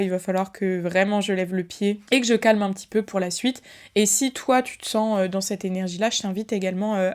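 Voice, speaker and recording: A young woman hosts her own podcast alone, sounding tired. She chats casually and turns often to her listeners, with many hesitations and fillers along the way. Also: mid-range voice. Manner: chatty, many fillers, addresses listeners directly. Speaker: podcast host and fitness creator. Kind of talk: casual solo podcast talk.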